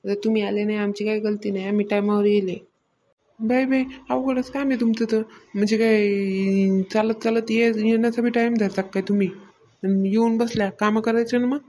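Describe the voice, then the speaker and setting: A woman acts out a hardworking village wife in a comedy cartoon. She is bossy and plain-spoken, with little rise and fall in a medium-pitched voice. Her accent is rural Maharashtra Marathi. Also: steady tone, normal speed, warm tone, close to an everyday voice, poor audio. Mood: chiding.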